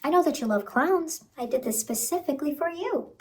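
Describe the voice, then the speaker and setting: A woman voicing a sinister ice cream truck clown in a soft, cajoling, falsely reassuring ASMR horror roleplay, close to the microphone. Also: high-pitched, expressive, fairly quick. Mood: sly, luring. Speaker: ASMR performer.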